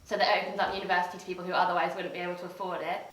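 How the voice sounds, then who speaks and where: A woman's voice: on the low side, little pitch movement, racing, a bit bright. A young woman with an English accent, an exchange student giving articulate, upbeat interview answers. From England. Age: young adult. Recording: echoey room, mic at a distance.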